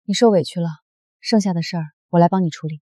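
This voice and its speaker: A woman's voice: lowish voice, fast, dark tone, no room sound, clean studio sound, close to an everyday voice. An actress playing a commanding, protective wealthy woman executive, cool and authoritative in a drama. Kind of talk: short-drama acting.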